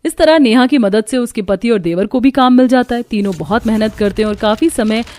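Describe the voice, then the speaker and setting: High-voiced woman narrating a cartoon story. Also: fairly quick, darkish tone.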